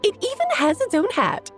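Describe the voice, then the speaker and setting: A woman's voice: very high voice, exaggerated voice. A woman voicing a whimsical, theatrical witch in an anime game, teasing and airy in manner.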